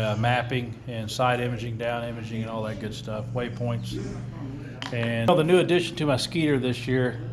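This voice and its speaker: A man, chatting casually and plainly for a fishing vlog, in a folksy way. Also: medium pitch. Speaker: amateur tournament angler and vlogger. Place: outdoors on a gravel lot, then inside a large garage. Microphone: action camera at arm's length. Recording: noisy handheld camera audio, ambient noise.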